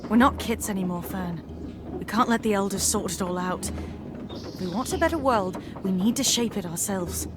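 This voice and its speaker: Woman as a young rebel bunny, passionate n pushy, fantasy game acting, clear ambient noise behind. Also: mid-range voice, moderate pace, close to an everyday voice. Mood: passionate, insistent. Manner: insistent, passionate, familiar. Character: bold, persuasive young female rabbit rebel. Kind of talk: dramatic video game dialogue.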